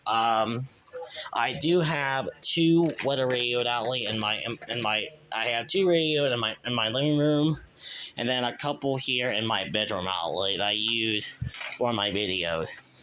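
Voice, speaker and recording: Bright-voiced man rambling through a home vlog. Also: medium pitch, measured pace. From Kansas, USA. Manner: rambling, with many restarts and a repeated verbal tic. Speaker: channel owner, weather radio hobbyist. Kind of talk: casual show-and-tell vlog. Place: his bedroom at home.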